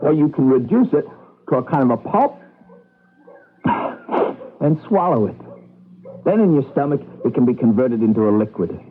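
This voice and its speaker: An actor playing an eager, gentle man who guides a newcomer, in old-time radio drama style. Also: mid-range voice, expressive, moderate pace, pauses often, phone-quality sound, natural voice. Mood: coaxing. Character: eager gentle man guiding a newcomer through simple farm life. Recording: thin band-limited radio broadcast, some noise.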